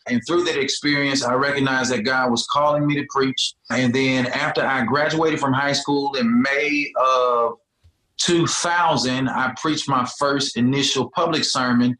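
A man's voice: medium pitch, bright, forceful tone. A man, a pastor telling his story in an interview with an earnest, repetitive preacher's cadence. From Birmingham, Alabama. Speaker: pastor, guest. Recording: echoey room.

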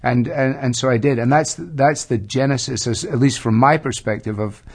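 A Scottish man in his fifties tells his story as a guest pastor. His voice has a gravelly edge, and he speaks in a measured, reflective way, pausing often to find his words. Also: medium pitch, fairly even intonation. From Scotland. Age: 50s. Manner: measured, thoughtful, with frequent hesitations.

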